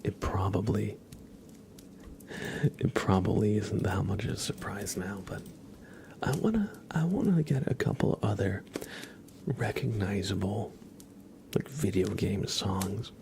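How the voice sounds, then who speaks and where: A man hosting an ASMR livestream, chatting to viewers gently in a soft, breathy whisper that slips into a murmur. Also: lively intonation, unhurried, pauses often, a little hiss or hum. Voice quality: breathy whisper mixed with low, creaky murmur.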